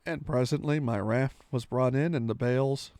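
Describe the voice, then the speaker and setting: A man's voice: mid-range voice, steady tone, moderate pace, warm tone, no room sound. A man reading a storybook aloud with dramatic, theatrical delivery, sometimes stumbling and correcting himself.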